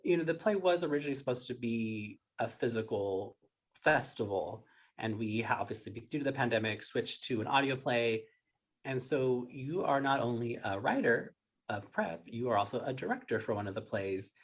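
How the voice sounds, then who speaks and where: A man's voice: mid-range voice, lively intonation, normal speed. A man hosting a casual interview, speaking in an informal, agreeable and encouraging way. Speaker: interviewer, workshop facilitator.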